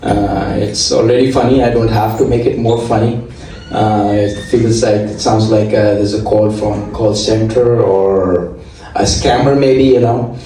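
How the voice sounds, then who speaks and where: Halting man with an Indian accent. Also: lowish voice, mellow, a little hiss or hum. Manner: halting, with constant filler sounds and restarts. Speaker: stand-up comedian. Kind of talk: stand-up set at an open mic. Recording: echoey room, mic heard from a distance.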